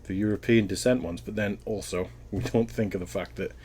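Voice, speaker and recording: A man's voice: on the low side, fairly even intonation, a little fast, darkish tone, slight background noise. A Welsh man answering interview questions in a casual, thoughtful way, often rambling and restarting his sentences. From Wales.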